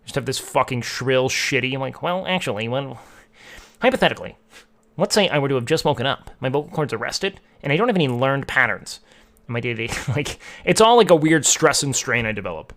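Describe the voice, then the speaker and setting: A man's voice: mid-range voice, expressive, fairly quick, a bit bright. A young man streaming and chatting casually with his viewers, rambling with lots of filler words. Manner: rambling, filler-heavy, frequent "like". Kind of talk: casual chat with chat.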